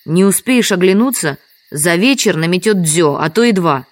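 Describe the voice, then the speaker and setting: An actress plays a lonely village widow in an audio drama. Her voice is on the low side for a woman. She speaks in a plain, resigned, matter-of-fact way. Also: lively intonation, moderate pace, natural voice. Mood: resigned, sorrowful. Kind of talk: Russian audio drama acting.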